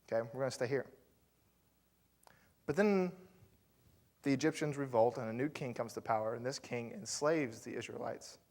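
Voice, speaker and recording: A man's voice: medium pitch, moderate pace, pauses often, darkish tone. A man preaching a sermon as pastor, telling the story in a loose, conversational way with fillers and asides to the congregation.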